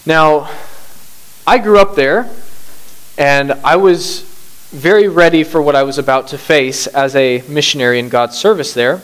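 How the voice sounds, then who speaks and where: A man's voice: on the high side, a little slow, a bit bright, low-quality recording. A middle-aged man who grew up in Bangladesh, preaching a sermon with scripture reading and prayer in an earnest manner that rises to emphasis. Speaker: pastor.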